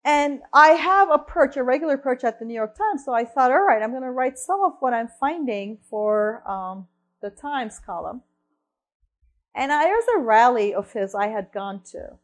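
A fairly high-voiced woman from Turkey speaks in the lively way of a lecture. She checks in with "right?" and often restarts her sentences, thinking aloud as she goes. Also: moderate pace. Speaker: researcher and columnist giving a talk. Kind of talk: lecture-style talk.

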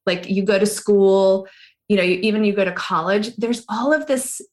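A middle-aged woman from Hawaii talks at a mid pitch in an unhurried, reflective way. She weighs her thoughts aloud and speaks warmly as a guest in an interview. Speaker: guest, yoga teacher. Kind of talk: conversational interview.

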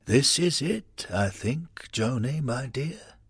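A man doing a gentle, husky old doctor. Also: medium pitch, expressive, takes it slowly, no room sound, pitched above the performer's own voice, exaggerated voice. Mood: weak, tender. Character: older male doctor, kindly, frail at the end.

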